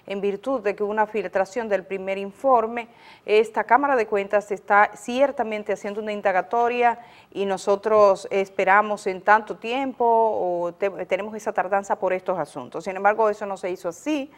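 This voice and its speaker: Dominican woman news host, medium pitch, chatty explainer style talking right to viewers. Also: fairly even intonation, moderate pace. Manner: explanatory, addresses viewers directly. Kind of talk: conversational news commentary. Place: TV studio.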